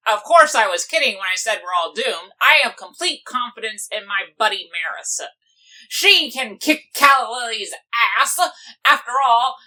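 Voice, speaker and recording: A woman playing a boastful, excitable, playfully bossy pony pirate captain in a cartoon fan dub, with theatrical pirate talk. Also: a little high, exaggerated voice, low-quality recording. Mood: excited, chatty. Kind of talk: fan comic dub voice acting.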